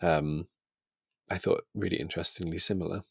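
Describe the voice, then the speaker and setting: A man's voice: sits low, steady tone, fairly quick, gravelly, slightly rough, no room sound, studio quality. A man co-hosting a podcast in a casual book discussion, thoughtful and a little hesitant as he qualifies his opinions.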